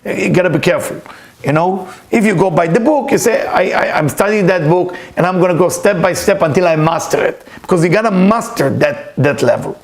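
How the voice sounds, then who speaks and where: Earnest man, high voice. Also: animated, brisk, gravelly. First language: Hebrew. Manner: earnest, emphatic, repeats key words. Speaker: spiritual teacher. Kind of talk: weekly inspirational talk.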